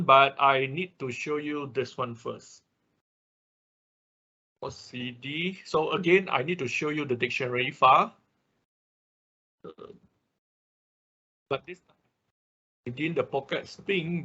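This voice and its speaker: A man who speaks English as a second language, giving a live technical tutorial step by step in a halting manner, often checking with short tag words. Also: medium pitch, pushed and bright, slightly rough. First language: not English. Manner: halting, often repeats and checks with okay or right. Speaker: workshop instructor. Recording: dull, lo-fi online class audio.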